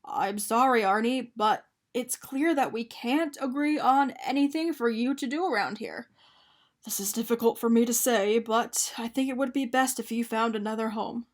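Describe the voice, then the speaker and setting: A woman's voice: a little high, moderate pace, natural voice. A woman voicing a fussy, polite and kindly man in a picture-book story, speaking in a matter-of-fact way. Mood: regretful. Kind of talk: animated picture-book character reading.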